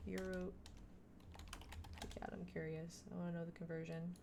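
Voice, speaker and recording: Chatty woman streamer, lowish voice. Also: level tone, normal speed, gravelly, noisy background. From Montreal, Quebec, Canada. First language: French. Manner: chatty, thinking aloud, self-deprecating asides. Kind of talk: casual chat while gaming.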